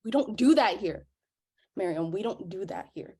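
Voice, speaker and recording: A medium-pitched female voice plays a stubborn tinkerer working under pressure, acted by a woman at a script reading. She chides her companion in dramatic dialogue. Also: animated, normal speed, mellow, breathy edge. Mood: urgent, chiding. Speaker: actor in a live script reading. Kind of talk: dramatic script table read.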